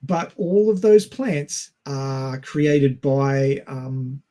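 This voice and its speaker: A man's voice: mid-range voice, lively intonation, drawn-out words, mellow. A man in a casual discussion, explaining things step by step in a teacherly way. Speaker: podcast panellist.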